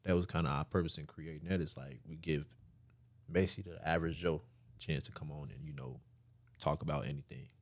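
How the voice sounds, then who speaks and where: A man's voice: rumbling low, steady tone, fast talker, dry recording. A man in his twenties, co-hosting a podcast in a laid-back, slangy way with plenty of fillers and swearing. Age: 20s. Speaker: podcast co-host. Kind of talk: casual podcast chat.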